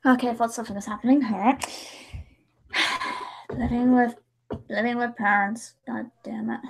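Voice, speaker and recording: A woman's voice: fairly high pitch, steady tone, moderate pace, gravelly, breathy edge. A young woman hosting a casual livestream chat in a giggly, rambling way. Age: young adult. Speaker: livestream host and video editor.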